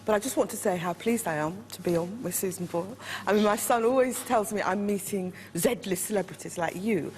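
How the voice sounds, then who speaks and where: A woman's voice: mid-range voice, a little fast, few pauses, a little mellow, slight background noise. A woman politician on a talk panel, speaking in a direct, emphatic way.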